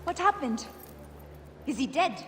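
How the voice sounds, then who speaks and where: An actress playing a calm, reassuring priestess in a video game. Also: female voice, sits high, a little slow, a little hiss or hum, heavily acted. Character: calm protective adult woman priestess. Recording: echoey hall with music.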